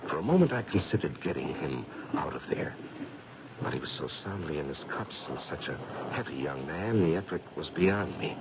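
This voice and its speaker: Very deep, gravelly grave doctor. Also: male voice, heavily acted. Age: middle-aged. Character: grave, anxious middle-aged doctor, haunted by misgivings. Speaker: narrator and character. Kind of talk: 1970s radio drama acting. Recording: muffled, noisy old radio broadcast.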